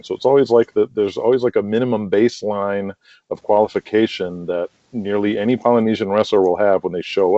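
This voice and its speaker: Low, mellow man in a roomy space. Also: muffled recording. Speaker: podcast guest, wrestling promoter and radio drama creator. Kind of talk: casual interview conversation.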